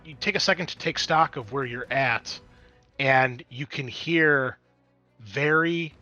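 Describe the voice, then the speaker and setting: A man acts as game master for a tabletop roleplaying session. His voice is bright and forceful at a middling pitch. He moves between offhand explanations and dramatic description of the scene, with faint music underneath. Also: takes it slowly, highs cut off.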